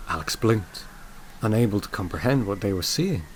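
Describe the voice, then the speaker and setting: A man narrating a scripted audio drama as a solemn, gentle storyteller, measured, with dramatic pauses. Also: lowish voice, expressive, a little hiss or hum, natural voice, rough recording. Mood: solemn, wondering. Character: solemn, gentle male storyteller of a grief tale.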